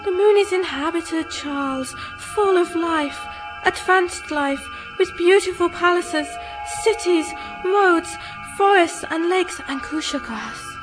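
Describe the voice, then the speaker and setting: An actress playing a serene, alluring otherworldly moon woman in a radio drama, gentle, coaxing and unhurried in manner. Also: very high voice, rough recording. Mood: serene, mysterious. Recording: music behind the voice.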